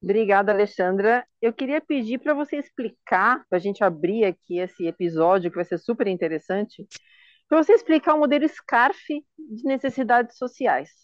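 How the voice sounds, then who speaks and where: A woman's voice: mid-range voice, normal speed, no echo. A woman with a Brazilian accent hosting a conversational podcast interview. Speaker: podcast host. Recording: dull lo-fi audio.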